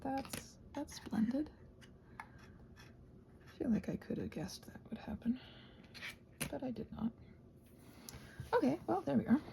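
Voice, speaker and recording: A soft-spoken woman chatting casually and musing aloud as a craft vlogger, often slipping toward a whisper and gently reassuring herself. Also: high voice, slow, creaky, pauses often. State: short on sleep. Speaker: craft vlogger and ASMR host. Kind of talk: casual rambling craft chat.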